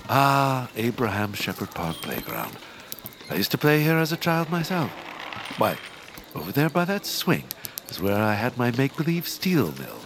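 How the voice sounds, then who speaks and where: An actor playing a greedy, scheming land baron with a pompous, theatrical, drawn-out delivery in a comic audio drama. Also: male voice, mid-range voice, huge pitch swings, gravelly, a bit bright, slight background noise, exaggerated voice. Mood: nostalgic, grand.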